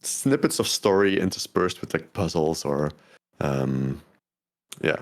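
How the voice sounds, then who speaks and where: A man talking as a guest on a podcast, relaxed and easygoing, laughing easily and filling his speech with "like" and "um". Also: rumbling low, a little slow. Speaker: podcast guest, game developer. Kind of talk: casual interview chat.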